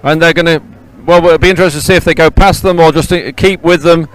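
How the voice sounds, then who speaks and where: Clear-voiced man commentating live, higher-pitched. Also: fairly even intonation, soft background music. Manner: hesitant, halting, often repeating and correcting himself. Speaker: race commentator. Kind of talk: live unscripted sports commentary. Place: outdoors beside a race course.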